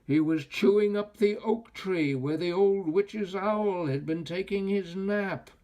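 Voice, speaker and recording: A man reading a children's story aloud as an expressive narrator, with deliberate, dramatic phrasing. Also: high-pitched. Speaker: volunteer storybook reader.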